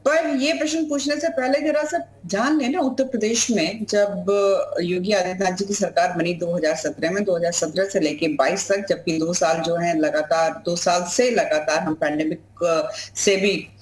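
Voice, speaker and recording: A woman's voice: on the low side, fairly even intonation, moderate pace, few pauses. A woman party spokesperson arguing assertively in a TV debate, joining by remote call. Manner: assertive, argumentative. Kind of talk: political debate argument. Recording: echoey remote room audio.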